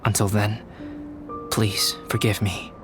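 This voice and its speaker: A reserved young scholar-alchemist for a fantasy game. His slightly low voice is calm and soft-spoken, with formal, careful phrasing over clearly audible music. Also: slow pace. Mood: reflective, sincere. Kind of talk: video game character acting.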